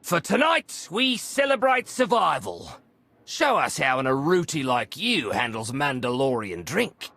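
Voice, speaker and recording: An actor playing a proud, boisterous game warrior who declaims grandly in a gravelly, husky voice. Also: male voice, on the high side, sing-song, measured pace, pushed and bright, no echo, heavily acted. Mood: boastful, rousing. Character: boisterous warrior, proud teller of battle glory.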